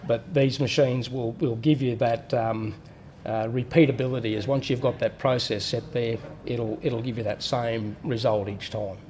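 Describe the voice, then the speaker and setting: Workshop guy walking through welder settings, mellow voice, bit of hum behind. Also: medium pitch, steady tone, normal speed. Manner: plain-spoken, explains step by step with fillers and restarts. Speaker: welding machine specialist. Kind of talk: hands-on technical explanation.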